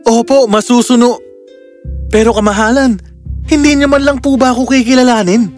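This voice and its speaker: Kind, courteous fairy-tale prince, put on by a man, youthful and earnest, high pitch, prominent music bed. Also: steady tone, moderate pace, a little mellow. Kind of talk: children's fairy-tale dialogue. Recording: music clearly behind the voice.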